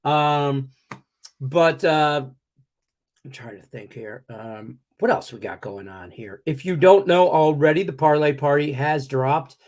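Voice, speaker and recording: A man's voice: a little high, unhurried, darkish tone. A middle-aged man hosting a sports betting show, chatting loosely and joking, his voice congested and slightly cracky from a cough. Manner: loose and joking, rambling with many fillers. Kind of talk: casual live-stream chat.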